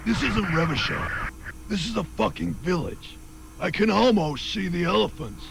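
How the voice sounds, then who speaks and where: A very gravelly, high-pitched game punk, brash and aggressive, itching to wreck things. The voice is strained and sits over clear background noise. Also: male voice, normal speed. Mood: scornful. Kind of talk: video game character acting.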